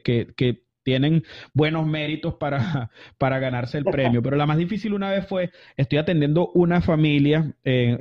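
A man from Venezuela, telling stories as an interview guest in a casual, rambling way full of fillers and restarts. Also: fairly high pitch. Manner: chatty, many fillers and restarts. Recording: dull lo-fi audio.

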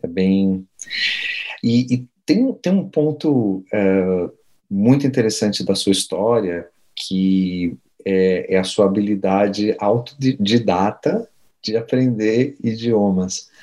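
Interviewer guy, low mellow voice, rambling questions. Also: slow pace. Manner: long winding questions with hesitations.

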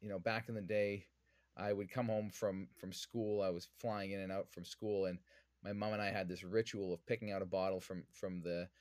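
A man's voice: low voice, a little mellow, no echo, studio quality. A man from Vancouver with a Canadian accent, answering interview questions conversationally as a podcast guest. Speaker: podcast guest, whiskey influencer.

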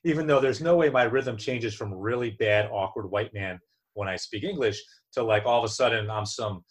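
A man's voice: fairly low pitch, normal speed, breathy edge. A man from New Jersey chatting casually as co-host, joking and self-deprecating, often restarting his sentences. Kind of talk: casual chat.

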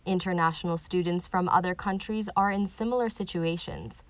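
A woman reading a scripted news report as host, in a measured, even delivery. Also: on the low side.

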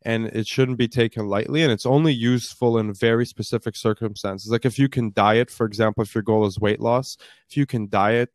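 A young man in his twenties with a deep voice, answering interview questions in a fluent, storytelling way with frequent fillers. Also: steady tone, fairly quick, dry recording. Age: 20s. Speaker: startup founder guest. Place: based in Canada.

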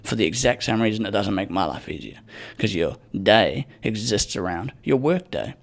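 A young man rants solo into his podcast mic, cursing freely and wandering off on sardonic tangents. His low voice has a gravelly, creaky edge. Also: animated, moderate pace. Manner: profane, rambling, sardonic. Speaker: podcast host. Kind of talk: casual solo podcast rant. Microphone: podcast microphone.

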